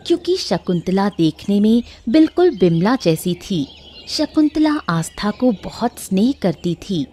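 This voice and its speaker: Animated woman narrating a story. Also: medium pitch. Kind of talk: scripted moral-story narration.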